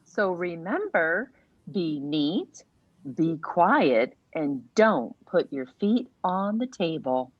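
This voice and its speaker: A man voicing a prim, patient mother frog in a storybook, measured and gently admonishing. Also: fairly high pitch, very animated, no room sound, dull audio, exaggerated voice. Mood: prim reminding.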